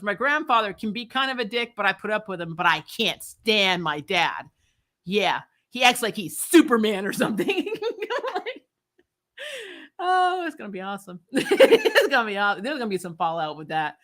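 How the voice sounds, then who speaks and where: A woman hosting a recap show, giving casual, opinionated TV review chat in an animated, blunt, exasperated way with plenty of swearing. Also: mid-range voice, normal speed. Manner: animated, exasperated, blunt and profane, mock-scolding; laughs often while talking.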